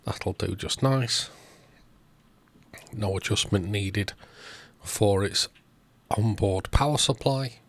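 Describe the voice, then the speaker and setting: Low-pitched man narrating a repair. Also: slow pace, pauses often, dry recording. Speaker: host of electronics repair videos.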